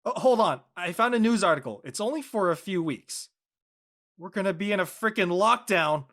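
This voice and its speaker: Casting: male actor as high school senior boy, lovelorn and defensive, joking and slangy, swinging pitch, for an audio drama. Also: lively intonation, normal speed, fairly bright tone. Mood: alarmed. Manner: casual slangy teen talk. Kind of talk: live audio drama table read.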